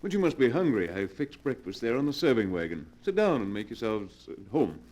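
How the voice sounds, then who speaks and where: A man's voice: medium pitch, very animated, fairly quick, a little mellow. A man acting in an old-time radio drama as a formal, courteous, lonely recluse, genteel and insistent with a faintly sinister air. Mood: gracious.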